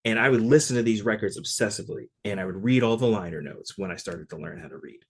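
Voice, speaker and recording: A fairly low-pitched man from Connecticut, speaking as a podcast guest. He talks loosely and conversationally, sprinkling his stories with casual fillers. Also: fast, creaky, husky. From Connecticut, USA. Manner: relaxed, conversational, many "like" fillers. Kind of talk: casual interview storytelling.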